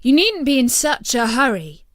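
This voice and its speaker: A woman's voice: sits high, sing-song, moderate pace, a bit bright, no room sound, clean studio sound, voice pushed up. A woman voicing a confident soldier in a storybook, calling out emphatically. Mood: urgent, coaxing. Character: condemned male soldier, confident, bargaining with a boy.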